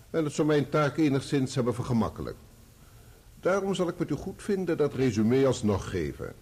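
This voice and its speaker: A man's voice: medium pitch, a little mellow, breathy edge. A man playing a courteous, sardonic defence barrister in a radio courtroom drama, speaking formally with an ironic edge. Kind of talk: radio play courtroom acting.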